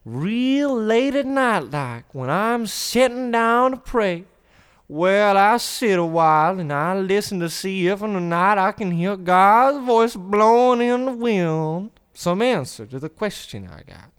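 A man's voice: high voice, animated, takes it slowly, clean tone. A man playing an earnest, folksy, anxious farm boy with a rural American accent in an old-time radio drama parody. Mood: earnest, uneasy.

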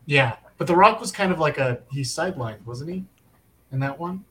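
A man's voice: fairly high pitch, lively intonation, moderate pace, fairly bright tone, husky, rough recording. A man in his twenties chatting casually as a panelist, feeling his way through his points with hesitant phrasing. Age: 20s. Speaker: panel guest. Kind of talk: casual chat.

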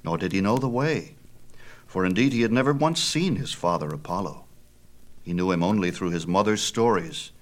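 A man reading a scripted mythology story aloud in a dramatic, measured manner. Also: low-pitched, animated.

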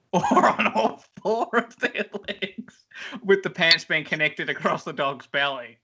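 A man's voice: on the high side, animated, slow pace, pushed and bright, husky. A man with a nasal voice hosting a comedy podcast, playfully announcing rounds. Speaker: host. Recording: lo-fi podcast audio.

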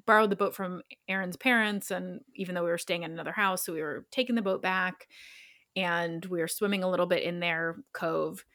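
A woman's voice: fairly low pitch, steady tone, brisk, a bit bright, no room sound, clean studio sound. A woman in her thirties co-hosting a podcast, telling a rambling story with lots of fillers and self-deprecating laughs. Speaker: podcast co-host. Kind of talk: casual chat.

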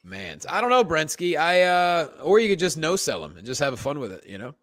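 A man's voice: a little high, a little fast, no echo, clean studio sound. A man who co-hosts a comedy podcast, telling anecdotes casually and acting out what people say. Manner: animated, acts out quotes.

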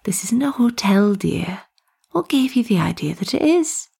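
A woman puts on an eccentric village tea lady for a storybook. She is chirpy, motherly and a little secretive, gently asking questions. The voice is husky with plenty of creak. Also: medium pitch, big pitch swings, normal speed, voice pushed up, heavily acted. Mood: dry teasing. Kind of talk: acted storybook dialogue.

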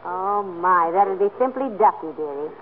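An actress playing a patient, wisecracking wife, dry and gently teasing, in a 1940s radio comedy. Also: female voice, fairly low pitch, animated, moderate pace, narrow, tinny audio, close to an everyday voice. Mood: wry. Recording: thin, noisy 1940s radio broadcast.

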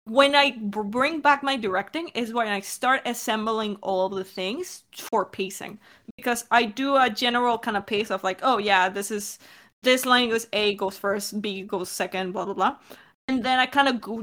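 A woman's voice: medium pitch, bright, forceful tone. A woman explaining her work as a director and editor in an informal, casual Q&A style. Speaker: audio drama director and editor. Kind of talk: casual Q&A discussion.

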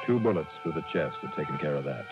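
Very deep-voiced hard-boiled radio investigator. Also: male voice, expressive, quick pace, loud music behind the voice. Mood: brooding, matter-of-fact. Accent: American. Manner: clipped, matter-of-fact first-person narration. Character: hard-boiled male special investigator, brisk and wry. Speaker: lead actor and narrator. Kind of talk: 1960s radio detective drama acting. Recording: dull old radio recording, noisy.

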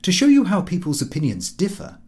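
A man's voice: sits high, wildly swooping pitch, fairly quick, a little mellow. A man reading a comic diary novel aloud as scripted narration, in a formal, fussy first-person manner. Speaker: audiobook narrator.

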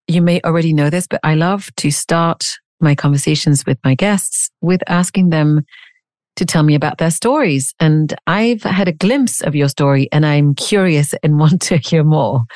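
Curious, chatty woman host, low voice. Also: normal speed, darkish tone, no room sound, studio quality. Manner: curious, chatty, laughs at herself. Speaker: podcast host. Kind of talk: scripted intro then casual interview chat.

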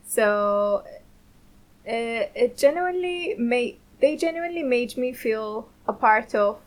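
A young woman from the Middle East, a second-year student, speaking earnestly with halting restarts. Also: on the high side, expressive. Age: young adult. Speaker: student guest. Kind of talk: casual interview answers. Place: radio studio.

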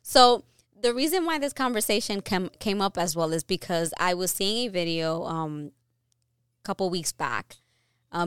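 A young woman co-hosting a casual podcast, earnest and opinionated, restarting her sentences often. Her voice is smooth and slightly low. The sound is close and dry, with no room around it. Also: a bit bright, no echo. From New York, US. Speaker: podcast co-host.

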